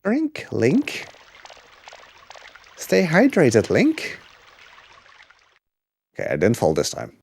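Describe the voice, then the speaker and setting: A man's voice: medium pitch, huge pitch swings, drawn-out words, gravelly, pauses often, a little mellow, slight background noise. A young man chatting casually while speedrunning a game, full of fillers and small laughs. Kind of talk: casual commentary while gaming. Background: game music.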